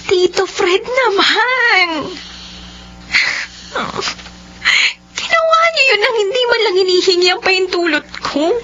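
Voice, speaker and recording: Tearful young heroine, very high voice, bright tone, muffled lo-fi radio drama. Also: female voice, animated, moderate pace, full character voice. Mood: pleading. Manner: pleading, tearful. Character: young woman forced into marriage, tearful. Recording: muffled lo-fi audio with faint hum.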